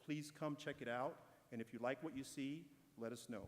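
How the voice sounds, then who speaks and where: A man delivers a measured, encouraging prepared speech at an awards ceremony. His voice sits at an ordinary pitch. A long reverb trails each phrase through the large hall. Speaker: corporate speaker at an awards ceremony.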